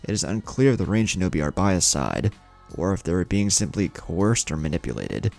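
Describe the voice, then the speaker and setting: A man's voice: deep voice, sing-song, creaky, a little mellow, faint music behind, heavily acted. Man reading as a stern female ninja commander. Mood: businesslike. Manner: brisk, authoritative briefing.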